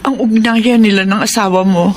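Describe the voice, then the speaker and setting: A woman's voice: mid-range voice, fairly even intonation, normal speed, lightly acted. A woman playing a humble, guilt-ridden middle-aged mother in a melodrama, pleading hesitantly in a Filipino accent. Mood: defensive. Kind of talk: melodramatic radio drama acting. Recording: noticeable background ambience noise.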